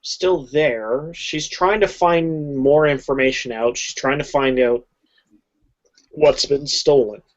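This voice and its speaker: A woman casually narrating a tabletop game as game master, halting with fillers. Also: a bass voice. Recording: dull lo-fi home mic.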